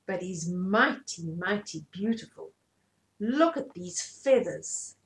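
A woman in her fifties reading a story aloud to children in an animated way, often asking the listener questions. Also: fairly low pitch, slow pace. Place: small indoor room at home.

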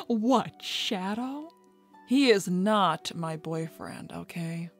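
A woman's voice: mid-range voice, animated, extremely slow, fairly bright tone, soft background music, full character voice. Sassy, flirty bat woman, voiced by an actress. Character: flirty, sassy adult female bat thief. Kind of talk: fan-made animated series voice acting.

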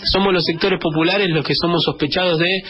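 A man's voice: high voice, steady tone, brisk. A man with a Greater Buenos Aires Argentine accent, hosting a radio show with a reflective spoken editorial, repeating phrases for emphasis. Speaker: radio host. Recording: dull band-limited radio sound, music behind.

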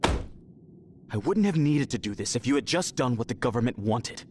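An actor voicing a stern, fanatical elder brother in a video game, commanding and condescending in dramatic delivery. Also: male voice, medium pitch, lively intonation, moderate pace, a little hiss or hum, lightly acted. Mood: cold, irritated. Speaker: villain. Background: dramatic background music.

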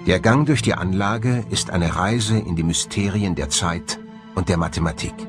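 A man's voice: low voice, moderate pace. A man reading scripted documentary narration. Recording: music bed under the voice. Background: music.

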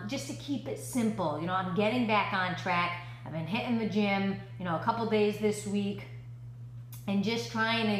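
A woman's voice: medium pitch, fairly bright tone. A woman, chatting upbeat to camera at home, with many "you know" fillers. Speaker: lifestyle vlogger. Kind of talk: casual chat to camera. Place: living room at home. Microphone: camera at desk distance. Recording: echoey room with faint hum.

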